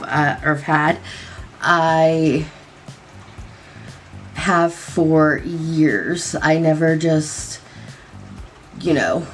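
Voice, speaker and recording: A young woman with a low voice talks through her makeup routine in a loose, rambling way, full of fillers. She still sounds a little nasal after a cold. Faint music plays behind her. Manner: rambling, lots of likes and ums. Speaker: beauty vlogger. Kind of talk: casual chat while doing makeup. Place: home at night.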